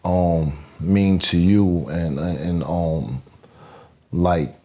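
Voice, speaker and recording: Man, podcast host, very low voice, slow, halting, lots of fillers, earnest. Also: fairly even intonation, dark tone. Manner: halting, many fillers and restarts, says "you know what I'm saying"; devout, earnest. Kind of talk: interview conversation.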